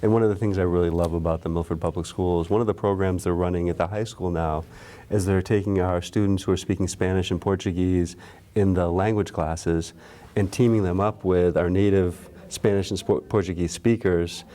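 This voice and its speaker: School official guy, low mellow voice, earnest, keeps restarting sentences. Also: fairly even intonation, brisk. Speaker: school administrator guest. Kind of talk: casual chat.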